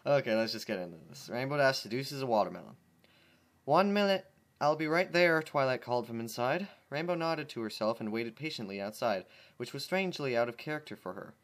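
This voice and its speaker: Dude reading a silly fanfic out loud, laughs at it, trips over words. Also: male voice, mid-range voice, lively intonation, fast. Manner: amused, stumbling, laughs at the text. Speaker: channel host reading fan fiction. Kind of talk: casual reading and commentary.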